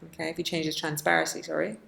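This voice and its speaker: A woman teacher giving an informal, chatty software walkthrough, thinking aloud as she demonstrates each step. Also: deep voice, flat delivery, rapid-fire, creaky, slight background noise. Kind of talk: informal instructional screen-recording walkthrough.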